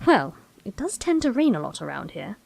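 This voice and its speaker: A woman's voice: medium pitch, very animated, fairly quick, creaky, a little mellow, slightly rough, heavily acted. A woman playing an eccentric, breezy, brainy time-travelling Doctor in an audio drama, chatty and whimsical, with quaint turns of phrase. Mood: curious.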